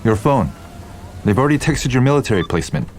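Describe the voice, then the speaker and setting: An actor playing a stern, dutiful aide and army veteran in an audio drama, formal and admonishing, with rain behind. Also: male voice, medium pitch, very animated, fast, a little mellow, natural voice. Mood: stern, deferential. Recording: faint rainstorm noise behind.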